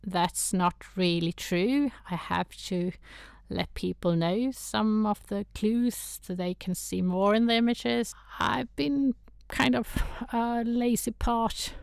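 A woman's voice: mid-range voice, steady tone, takes it slowly, gravelly, few pauses, a little mellow, clean tone, no room sound, studio quality. A woman from Sweden with a Swedish accent, a guest in a casual interview who talks with hesitant restarts and frequent laughter. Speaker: guest, toy photographer.

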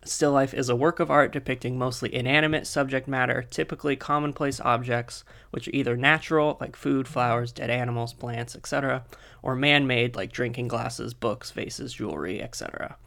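A man co-hosting a casual podcast, chatty with lots of fillers, soft and a little husky as he comes down with a cold. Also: medium pitch, steady tone, brisk. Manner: chatty, laughs often, many fillers. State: coming down with a cold. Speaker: podcast co-host.

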